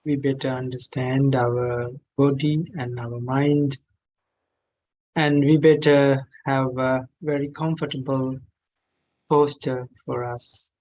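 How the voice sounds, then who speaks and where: Very slow-speaking man guiding meditation. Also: medium pitch, fairly even intonation, dull audio. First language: not English. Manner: gentle, measured phrases with long pauses. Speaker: meditation teacher. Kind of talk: guided meditation instruction.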